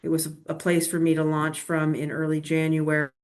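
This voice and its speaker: Low, flat-voiced woman briefing a council. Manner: measured, many fillers and restarts. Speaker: agency administrator presenting to a council. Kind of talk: explaining at a public meeting. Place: meeting room, same room as a colleague. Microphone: laptop microphone.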